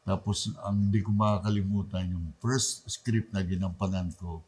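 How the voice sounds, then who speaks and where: A man's voice: low voice, fairly even intonation, moderate pace, darkish tone, breathy edge. A man with a Filipino accent chatting casually as a radio drama talent being interviewed.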